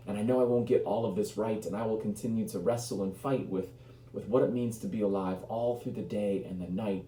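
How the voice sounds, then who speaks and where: A man in his early thirties reciting spoken word poetry in a conversational, reflective, earnest way, with deliberate pauses and repeated phrases. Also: fairly low pitch, warm tone. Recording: roomy echo, noticeable background hum.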